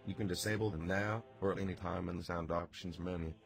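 Computer-synthesized voices reading an in-game notice, heard quietly through the game audio. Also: male voice, low-pitched, steady tone, fast talker, vocal fry. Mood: flat.

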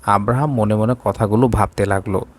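A man's voice: on the low side, fairly even intonation, fairly quick. A man narrating a scripted romantic drama story.

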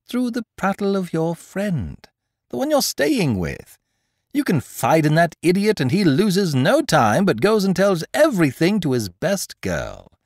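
A man acts out an exuberant gentleman thief from a storybook, teasing and condescending toward the young. His delivery is theatrical and mocking, with a pitch that leaps about dramatically, all in a very dry recording. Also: wildly swooping pitch, a little slow, dry recording, exaggerated voice. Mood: taunting. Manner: mocking, theatrical, peppered with rhetorical questions. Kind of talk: dramatised audiobook character dialogue.

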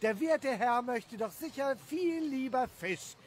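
Man playing a high-voiced, slow, contrary villager. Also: lively intonation, slight background noise, full character voice. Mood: dismissive. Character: slow, contrary male village fisherman, dismissive. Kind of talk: audio drama acting.

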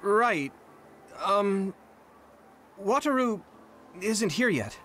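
A woman's voice: mid-range voice, lively intonation, drawn-out words, fairly bright tone, a little hiss or hum. A woman playing a polite, hesitant young recruit in an anime game, formal and tentative. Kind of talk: English anime-game voice acting.

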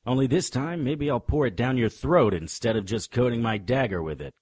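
An actor playing a sardonic, tough-talking private detective in a noir radio drama. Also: male voice, medium pitch, a little fast, a little mellow, breathy edge, no room sound, clean studio sound, lightly acted. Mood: sardonic, threatening. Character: hard-boiled male private detective, sardonic and threatening.

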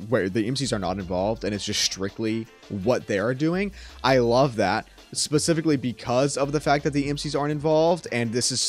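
Low-voiced young man, enthusiastic rambling streamer. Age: young adult. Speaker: streamer reacting to a game trailer. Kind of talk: casual reaction commentary. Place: small room at home. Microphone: close desk microphone.

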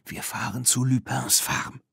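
Spirited, practical farm girl in her teens, read by a man, children's storybook, soft-edged husky tone. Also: medium pitch, steady tone, measured pace, no room sound, clean studio sound, pitched above the performer's own voice, full character voice. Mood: hushed, tense.

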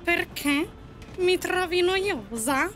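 A woman's voice: very high-pitched, animated, drawn-out words, a bit bright, faint music behind, heavily acted. A woman voicing a doting, melodramatic female fairy in an audio drama, gushing theatrically. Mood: indignant.

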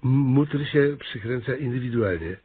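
An actor playing a pompous, flustered small-town mayor in a comic radio play, officious and hesitant in manner. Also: male voice, medium pitch, normal speed, slightly rough, lightly acted. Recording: thin, phone-like radio play recording.

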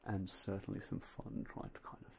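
Young man, soft unvoiced whisper, low gravelly tone, ASMR store clerk roleplay, close binaural mic, faint hiss. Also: level tone, moderate pace.

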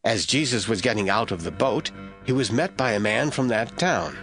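A man narrating a Bible audio drama as scripted, expressive storytelling. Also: on the low side, moderate pace, faint music behind.

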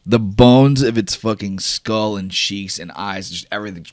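A man's voice: fairly low pitch, normal speed, no echo, studio quality. A man improvising horror narration as a tabletop game master, casual, emphatic and swearing freely. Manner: casual, profanity-laden, emphatic.

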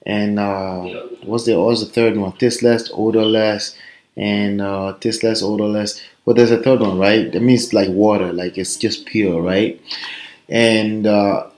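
Nigerian man in his 30s, mid-pitched, upbeat coaching vlog, recorded on a webcam in a small echoey room at home. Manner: energetic, emphatic, conversational with frequent tag questions. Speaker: online business coach. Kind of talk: motivational vlog talk. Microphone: laptop webcam mic. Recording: roomy echo of a small room.

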